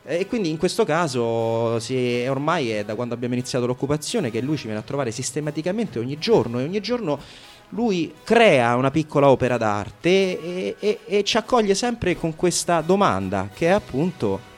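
A man's voice: a little high, sing-song, moderate pace, soft background music. A man presenting an informal radio show with playful irony, often hesitating and repeating his phrases. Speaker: radio host.

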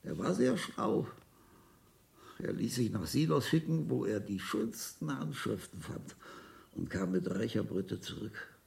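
An actor playing a toothless, boastful very old monk in a German radio play, muttering ominously in a gravelly voice that slips into whispers. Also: male voice, lowish voice, sing-song, measured pace, a little mellow, distant mic, full character voice. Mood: boastful, bitter. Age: older.

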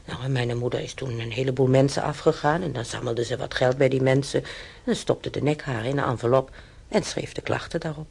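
A man's voice: medium pitch, fairly even intonation, brisk, creaky, darkish tone, husky. An actor in a radio play voicing a chatty, stubborn old German-born man who rambles through his memories with hesitations. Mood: earnest reminiscing. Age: older. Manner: rambling, reminiscing, with hesitations and tag questions. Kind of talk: conversational radio play acting.

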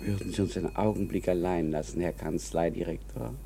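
An actor playing an ailing, imperious old lawyer in a radio drama, weary but commanding. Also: male voice, sits low, brisk, gravelly, background noise.

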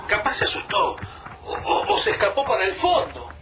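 A man doing a high, excitable young companion. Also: normal speed, echoey room, recorded from a distance, background noise. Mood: puzzled. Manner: eager, excitable. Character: Lucas, eager young male companion. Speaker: radio drama actor. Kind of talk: radio play acting.

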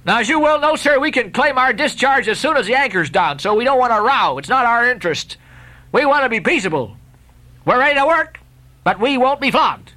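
A man voicing a fierce sailor ringleader who speaks out forcefully and defiantly. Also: very high-pitched, expressive, brisk, pitched above the performer's own voice, heavily acted. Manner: forceful, raised defiant speech.

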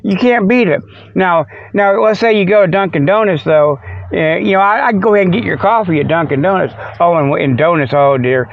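A man's voice: high-pitched, fairly quick, vocal fry, dry recording. A man hosting a radio show in a rambling, folksy, jokey monologue full of homespun exclamations. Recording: muffled, dull lo-fi radio stream.

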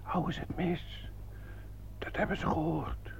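An actor playing a tense, protective army sergeant under fire in a radio war drama. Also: male voice, on the high side, fairly even intonation, measured pace, gravelly, in a whisper. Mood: hushed, fearful. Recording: muffled old radio recording, loud noise.

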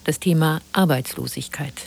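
Deep-voiced woman radio presenter. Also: moderate pace, gravelly. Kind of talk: formal scripted introduction.

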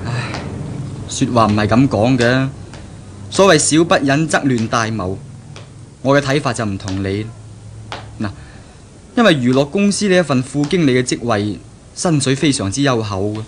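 Casting: man playing young male graduate fiancé, pragmatic and persuasive, medium pitch, 1970s radio drama with faint noise. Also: animated, moderate pace, pauses often, lightly acted. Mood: earnest, persuasive. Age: young adult. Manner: explanatory, earnest, persuasive.

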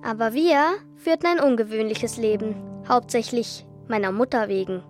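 Woman as a high-voiced, eager young girl. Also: normal speed, lightly acted. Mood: eager, playful. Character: bright twelve-year-old girl, curious, playful, cheeky. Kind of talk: scripted radio drama. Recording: studio radio drama with music.

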